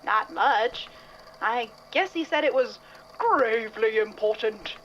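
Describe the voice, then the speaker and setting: A woman's voice: on the high side, expressive, slow pace, fairly bright tone, dull audio, slight background noise. A woman playing a chatty, curious and eager male office colleague in an audio drama.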